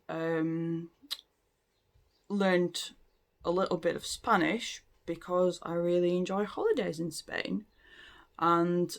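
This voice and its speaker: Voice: mid-range voice, gravelly. Late thirties, casual talk to camera, hesitant, frequent fillers, restarts sentences. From Latvia. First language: Latvian. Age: late 30s. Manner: hesitant, many ums and restarts. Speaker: language learning vlogger.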